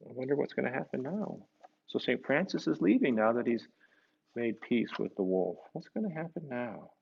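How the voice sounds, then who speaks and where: Gravelly-voiced man reading a storybook aloud. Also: mid-range voice, expressive, moderate pace, lo-fi sound. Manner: gentle, explanatory, asks the listeners tag questions. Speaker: priest reading a picture book to children. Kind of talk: storybook read-aloud with conversational asides.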